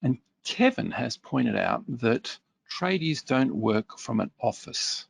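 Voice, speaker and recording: A man leads a workshop-style webinar, picking up viewer questions and talking them through in a relaxed, conversational way. His voice is deeply gravelly and a little husky, with a lively pitch that rises and falls often. The recording is dry and close to the mic. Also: slow, no room sound. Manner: reads out viewer comments and responds conversationally with fillers. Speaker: webinar presenter.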